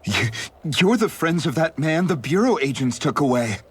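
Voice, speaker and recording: An actor playing a frightened villager in a video game, pleading and nervous. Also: male voice, fairly high pitch, very animated, normal speed, husky. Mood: fearful pleading. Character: frightened male villager cowed by occupiers.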